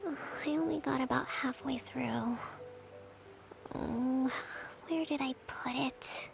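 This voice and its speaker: A woman voicing a gentle young priestess and leader in a game, exhausted and speaking softly, almost in a whisper. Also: high-pitched, takes it slowly, over music, exaggerated voice. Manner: soft, breathy, near-whispered and weary. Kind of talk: game voice acting.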